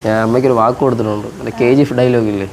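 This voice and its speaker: A man's voice: medium pitch, steady tone, racing, darkish tone, faint music behind, rough recording. A man chatting casually and playfully as a prank host, teasing and repeating his questions insistently.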